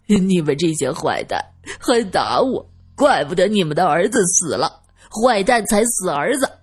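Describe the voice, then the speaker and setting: A woman acts out a childlike, half-mad man in an audiobook drama. He babbles and taunts in a giddy, petulant way, repeating himself. The pitch swings widely and the voice has a slightly husky edge. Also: very animated, a little slow, darkish tone, no echo, clean studio sound, soft background music. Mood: tearful, petulant.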